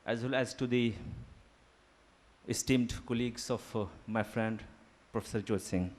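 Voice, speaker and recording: A man whose first language is Urdu, giving a formal seminar lecture in a deliberate academic manner, pausing with fillers. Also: mid-range voice, steady tone, a little mellow. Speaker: guest lecturer. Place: seminar hall.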